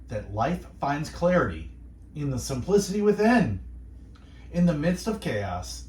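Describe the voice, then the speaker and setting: Reflective male radio host, echoey and distant. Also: fairly high pitch. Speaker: radio host and station manager. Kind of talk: reflective, encouraging inspirational radio monologue.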